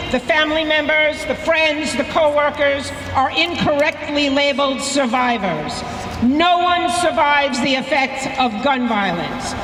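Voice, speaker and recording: A woman's voice: high-pitched, a bit bright. A middle-aged woman giving a prepared, emphatic speech at an outdoor rally. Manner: measured, earnest, emphatic. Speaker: rally speaker. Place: outdoor rally in front of a courthouse. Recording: echoey public address outdoors, crowd noise.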